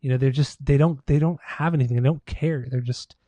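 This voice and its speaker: A man's voice: mid-range voice, steady tone, brisk, dark tone, dry recording, dull audio. An American man co-hosting a podcast, chatting casually about music in an informal, slangy, sweary way. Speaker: podcast co-host.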